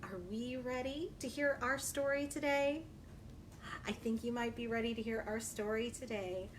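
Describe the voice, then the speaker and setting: A woman children's librarian reading a picture book aloud in an exaggerated, animated way and talking directly to young listeners. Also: fairly high pitch, moderate pace. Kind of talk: children's read-aloud storytime. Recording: noisy livestream recording.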